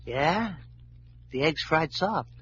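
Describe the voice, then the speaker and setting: A man's voice: a little high, expressive, slow pace, fairly bright tone. An actor playing a cocky, bullying American stickup man who talks in curt tough-guy slang, in old-time radio drama style. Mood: sneering. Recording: muffled, hissy old radio recording.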